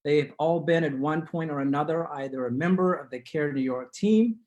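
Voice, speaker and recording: A man hosting an online community talk show from his living room on a video call, speaking in a formal, measured way. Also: fairly high pitch, darkish tone. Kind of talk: scripted-sounding show introduction and interviewing.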